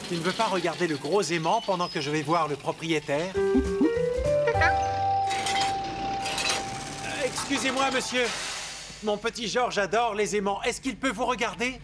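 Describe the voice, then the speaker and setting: A man plays a kindly, earnest cartoon guardian. He speaks courteously and expressively, with loud music behind the voice. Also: high voice, brisk, natural voice. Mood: reassuring. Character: kindly, polite, earnest man, caretaker of little monkey. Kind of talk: French animated cartoon dialogue. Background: loud background music.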